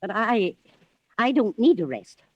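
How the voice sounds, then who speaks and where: Husky, flustered housekeeper, dull recording. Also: female voice, medium pitch, sing-song, measured pace, no room sound. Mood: flustered, reluctant. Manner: deferential, hesitant. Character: flustered, loyal female housekeeper. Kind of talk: old-time radio thriller acting. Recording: dull old radio recording.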